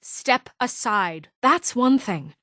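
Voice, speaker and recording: A stern young deputy mayor. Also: female voice, a little high, expressive, slow, fairly bright tone, dry recording. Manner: firm, clipped and authoritative. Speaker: game character. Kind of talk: video game voice acting. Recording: dry studio voice clips.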